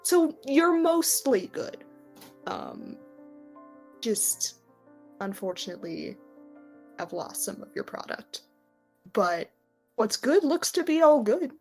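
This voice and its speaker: A woman acts as game master for a tabletop role-playing game. She narrates slowly to her players. Faint music plays underneath. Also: a little high, huge pitch swings, creaky, a little mellow.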